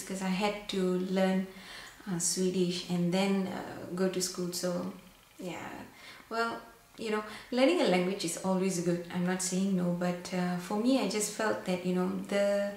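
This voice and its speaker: A woman's voice: mid-range voice, darkish tone. A young woman from Singapore chatting to camera in a casual vlog, full of fillers and restarts. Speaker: makeup vlogger. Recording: very echoey room, faint background hiss.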